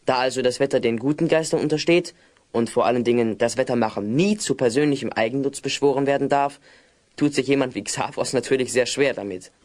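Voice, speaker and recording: A man plays a studious young magician's apprentice with a medium-pitched voice. He explains things earnestly and at length, choosing his words with care, in a children's fantasy audio drama. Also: a little fast, darkish tone, natural voice. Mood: earnest, explaining. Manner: precise, long-winded, earnest explaining. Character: earnest, bookish male apprentice wizard, slightly unsure. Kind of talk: German children's audio play acting.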